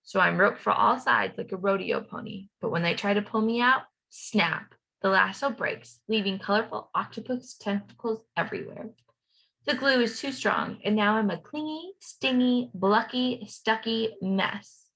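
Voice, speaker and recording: A woman reading a children's storybook aloud as a playful young schoolboy narrator, expressive and rhythmic. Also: medium pitch, moderate pace, gravelly, poor audio. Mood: playful, dramatic.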